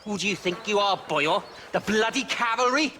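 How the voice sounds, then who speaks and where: An actor playing a menacing Welsh outlaw with a sing-song delivery in a western video game. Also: male voice, high-pitched, normal speed, bright, forceful tone, slight background noise. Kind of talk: game character acting.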